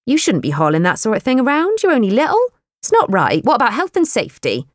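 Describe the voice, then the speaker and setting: Woman doing a chatty older lady at work, fussy and motherly, voice pitched up, dry close sound. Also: wildly swooping pitch, no echo. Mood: fussing. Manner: brisk, motherly, fussing.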